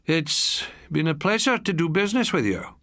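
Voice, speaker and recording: Casting: male reader as a sorrowful country tradesman, formal, courteous, steady, pitched up with lilting movement, audiobook. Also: normal speed, no echo, studio quality, full character voice. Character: sad, ailing, courteous rural shopkeeper.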